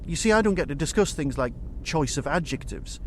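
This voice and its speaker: Man reviewing over clear background noise. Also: on the high side, expressive, brisk. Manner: emphatic, conversational, with rhetorical questions. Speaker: podcast host and reviewer. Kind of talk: enthusiastic spoken audio drama review.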